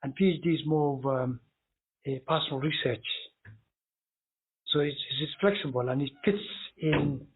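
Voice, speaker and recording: Guy being interviewed, kinda husky, a bit higher pitched, explaining stuff, thin phone-like sound. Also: moderate pace, long pauses. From born in Somalia, brought up in Denmark. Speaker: interview guest, consultant and PhD student.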